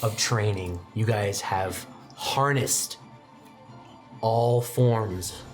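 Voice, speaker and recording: A man's voice: on the low side, extremely slow. Male game master narrating over music. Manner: dramatic storytelling with casual asides. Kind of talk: improvised tabletop game narration.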